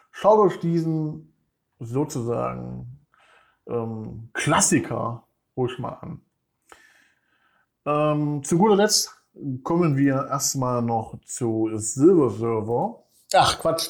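A man's voice: medium pitch, darkish tone. A man hosting a casual film news podcast, rambling with many filler sounds and stopping to correct himself. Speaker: podcast host.

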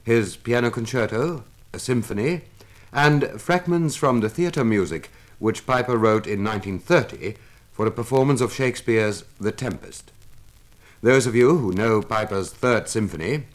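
A man giving a formal, measured scripted narration as an announcer, recorded on a 1950s radio transcription disc. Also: medium pitch. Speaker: announcer of a classical music demonstration record.

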